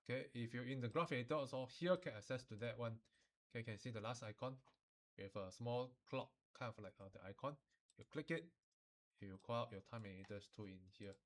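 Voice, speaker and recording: A man with a Malaysian or Singaporean accent gives an informal, step-by-step software tutorial, instructing in colloquial phrasing with sentence-final particles. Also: medium pitch, a little fast.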